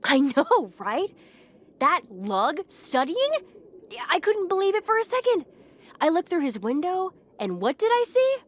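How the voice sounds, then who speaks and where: A woman playing a bossy, easily flustered teenage popular bully in an audio roleplay, exasperated and pushy, halting when embarrassed. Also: extremely high pitch, big pitch swings, full character voice. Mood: outraged. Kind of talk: acted ASMR roleplay monologue to the listener.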